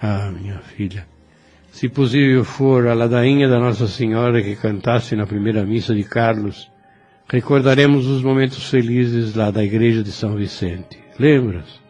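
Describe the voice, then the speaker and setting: An actor playing a gentle, devout, dying old priest who speaks slowly and tenderly in an audio novel. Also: male voice, medium pitch, soft background music, lightly acted. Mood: wistful. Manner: slow, halting, tender speech. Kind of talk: religious audio novel acting.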